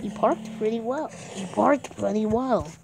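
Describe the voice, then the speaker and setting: Gravelly-voiced female gamer, noisy recording. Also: a little high, measured pace. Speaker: gamer playing a roleplay. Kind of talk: playful gameplay commentary with roleplay banter.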